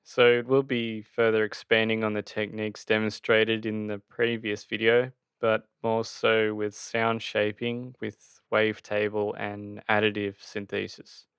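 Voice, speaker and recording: Low, monotone man, slow and gravelly. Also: dry recording. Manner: halting, thinking aloud as they demonstrate. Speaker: sound design tutorial host. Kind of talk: casual software tutorial.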